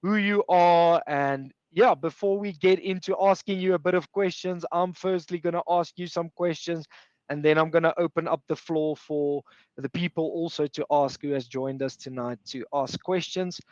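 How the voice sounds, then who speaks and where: A man's voice: fairly high pitch, fairly even intonation, normal speed, a little mellow, no echo, lo-fi sound. A man whose first language is Afrikaans hosts a webinar interview, often repeating words as he goes. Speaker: webinar host.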